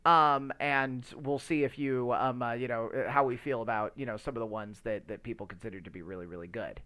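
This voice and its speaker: A man hosting a casual podcast chat, opinionated and emphatic, often halting and rambling as he searches for words. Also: medium pitch, sharp tone, clear, resonant tone. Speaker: podcast host. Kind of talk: casual chat about movies.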